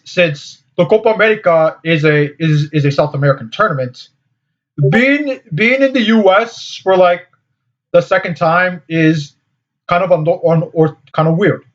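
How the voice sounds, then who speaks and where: A man hosting a casual group discussion, speaking haltingly and often repeating words. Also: on the high side. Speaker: discussion host. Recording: slightly dull, lo-fi audio.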